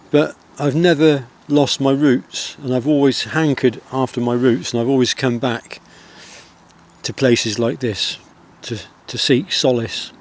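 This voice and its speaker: Soft-spoken Wiltshire countryman outdoors. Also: medium pitch. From England. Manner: soft-spoken, rambling, with many pauses and fillers. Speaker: outdoor vlogger. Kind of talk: reflective outdoor vlog.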